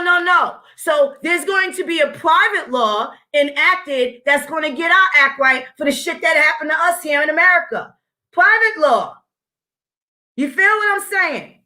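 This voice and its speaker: A woman's voice: on the high side, bright, forceful tone. A woman vlogger giving blunt, profane commentary straight to her audience, breaking off to read legal text aloud.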